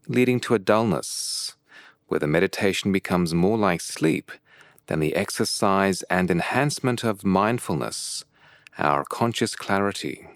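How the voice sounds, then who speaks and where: A man leading a guided meditation as a teacher, with calm, measured and deliberate phrasing broken by pauses. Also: lowish voice, dry recording, clean studio sound.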